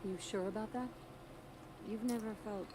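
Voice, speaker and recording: An actress playing a stern, resolute agent in a video game. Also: female voice, medium pitch, steady tone, normal speed, warm tone, close to an everyday voice. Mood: firm, persuasive. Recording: noisy, distant game audio.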